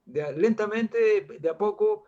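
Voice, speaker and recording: Older chilean guy rambling on in a panel chat, pretty high voice, kinda muffled lo-fi audio. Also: a little slow, dark tone. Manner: rambling, reflective, many restarts and fillers. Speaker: guest artist. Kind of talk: casual panel discussion.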